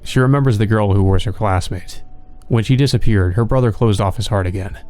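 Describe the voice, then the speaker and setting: Low, mellow-voiced man narrating. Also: brisk. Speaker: let's play narrator. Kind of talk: reading visual novel narration aloud.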